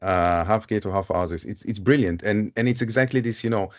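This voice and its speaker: A man with a fairly low voice moderates a live panel conversation. He speaks in a thoughtful, searching way and often goes back over words as he builds a question. His delivery moves forward at an unhurried pace. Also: steady tone. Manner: thoughtful, searching, repeats phrases.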